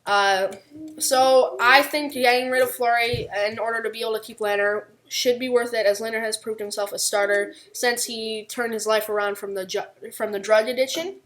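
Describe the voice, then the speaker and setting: A woman with a fairly high voice co-hosts a sports podcast. She talks through her analysis conversationally, without a script. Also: steady tone, normal speed, a bit bright, poor audio. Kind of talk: unscripted hockey analysis discussion.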